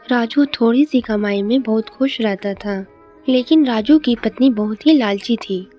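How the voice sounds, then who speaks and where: A woman's voice: on the high side, a little slow, mellow. Woman narrating a moral story over music.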